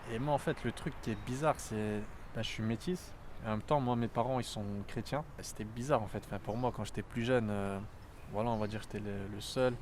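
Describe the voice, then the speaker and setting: A man in his twenties from France, talking earnestly and reflectively in a documentary interview, often pausing to think. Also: fairly low pitch, a little mellow, a little hiss or hum. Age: 20s.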